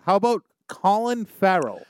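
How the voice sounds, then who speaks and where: A man's voice: high-pitched, big pitch swings, normal speed, smooth. A man co-hosting a podcast, chatting casually and joking around. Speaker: podcast co-host.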